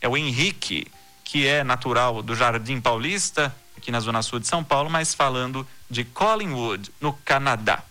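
Male news podcast host, brilliant edge to the voice, even scripted reading over a faint music bed. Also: medium pitch. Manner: steady, measured newsreader delivery.

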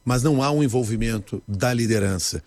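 A man hosting an interview, asking questions in a measured, formal way. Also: medium pitch, a little mellow, no room sound. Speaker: interview host.

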